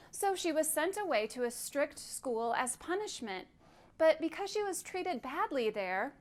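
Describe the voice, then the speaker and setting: A woman leading a virtual library storytime, telling a story to children gently and clearly and inviting them to count along. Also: high-pitched.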